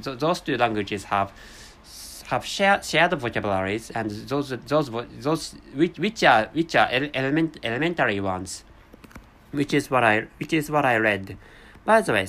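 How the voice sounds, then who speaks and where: Man, Japanese accent, non-native speaker; hesitant solo monologue, animated pitch. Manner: halting, searching for words, self-correcting. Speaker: vlogger. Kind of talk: unscripted monologue in a second language.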